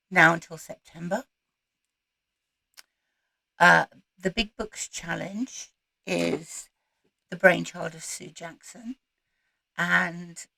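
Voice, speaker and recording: Croaky, gravelly woman chatting casually. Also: lowish voice, sharp tone, no echo, poor audio. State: croaky, recovering from losing her voice. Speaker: host of a book channel. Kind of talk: casual chat to camera about reading plans.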